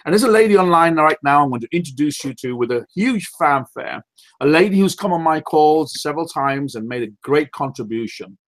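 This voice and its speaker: A man with a fairly high voice leads a motivational team call. He speaks emphatically, building his lines toward big introductions and acting out what others say. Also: lively intonation, brisk. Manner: emphatic, preacher-like build-ups; quotes others in acted voices. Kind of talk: motivational speech.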